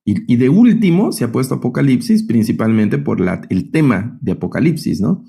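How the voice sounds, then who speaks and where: A man's voice: medium pitch, sing-song, moderate pace, creaky, dark tone, husky. A man with a Guatemalan accent teaching an online Bible class as a professor, explaining didactically and often checking in with short tag questions.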